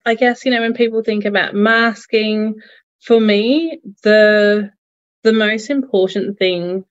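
A woman's voice: mid-range voice, muffled recording. A woman from Singapore with an Australian accent, a psychologist speaking thoughtfully as an interview guest. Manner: thoughtful, measured, occasional laughs. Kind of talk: reflective interview talk.

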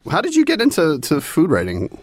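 A man hosting a podcast, reading a scripted intro and then interviewing a guest, in a brisk, friendly manner. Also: a little high, wildly swooping pitch, soft background music. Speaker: podcast host.

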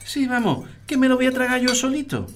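Weary middle-aged dad, expressive pitch. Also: male voice, fairly quick, slight background noise. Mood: weary, sardonic. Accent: Spanish from Spain. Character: weary, peacekeeping middle-aged family father. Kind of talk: naturalistic audio drama acting.

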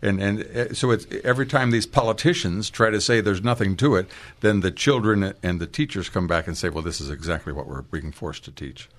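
A man joining a talk radio discussion as a guest, speaking in an indignant but measured way. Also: low-pitched.

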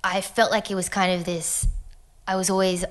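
A young woman with an Australian accent tinged with American, talking casually as a podcast guest and often dropping to a soft near-whisper when reflective. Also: mid-range voice, little pitch movement, moderate pace. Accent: Australian with American touches. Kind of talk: casual chat.

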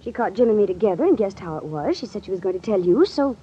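An actress playing a sweet-seeming, treacherous young accomplice in an old radio drama, plaintive and self-justifying. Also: female voice, mid-range voice, very animated, fast, mellow, husky. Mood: plaintive, defensive. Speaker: radio drama femme fatale. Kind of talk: 1940s-50s radio drama acting. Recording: muffled, noisy old radio recording.

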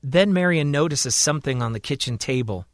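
A man's voice: medium pitch, lively intonation, brisk, no room sound, studio quality. A man narrating a scripted story dramatically as a storyteller. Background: music.

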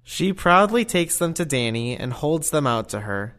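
A man's voice: a little high, sing-song, normal speed, a bit bright. A man narrating an audio play, reading out scripted stage directions and scene changes.

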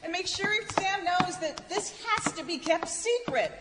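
A woman's voice: very high-pitched, expressive, unhurried. A woman acting in a live radio play as an eager, earnest employee of an eccentric boss. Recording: live stage, long reverb, clear noise.

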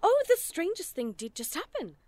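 A woman's voice: very high-pitched, wildly swooping pitch, normal speed, a little mellow, no room sound, exaggerated voice. An actress playing an affectionate, playfully teasing woman botanist in an audio drama, dryly amused and fond of endearments. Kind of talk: naturalistic audio drama dialogue.

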